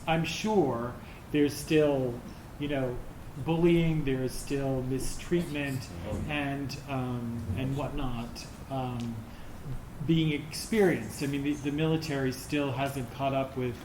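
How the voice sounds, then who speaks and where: A middle-aged or older man answering audience questions informally as a theater director, haltingly searching for words. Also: mid-range voice, steady tone. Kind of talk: informal post-show Q&A. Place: roomy indoor performance space. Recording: echoey room with clear background noise.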